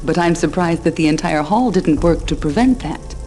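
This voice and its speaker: Older senior sorceress, lowish voice, stern and protective, formal delivery, fantasy game cutscene, music underneath. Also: female voice, animated, normal speed, warm tone. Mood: wary, pointed.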